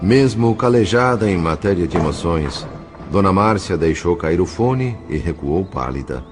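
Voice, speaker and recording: A man's voice: low-pitched, animated, normal speed, music in the background. A male actor narrating solemnly and dramatically as a spirit in a Brazilian audio drama, with a Brazilian accent. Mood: grave, dramatic.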